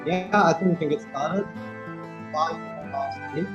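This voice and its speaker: A young man from Malawi co-hosting an online workshop, relaxed and friendly in casual talk. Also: a little high, unhurried, muffled recording, music in the background. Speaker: co-host. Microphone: video call.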